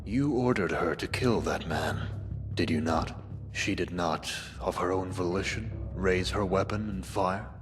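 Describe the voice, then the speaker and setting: A low, imperious voice, acted by a man for a cold zealot keeper in a video game. He speaks in pompous, measured sentences full of menace. Long reverb surrounds him and clear music plays behind. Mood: cold, scornful. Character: cold, domineering male keeper, a zealot slaver. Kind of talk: dramatic video game dialogue.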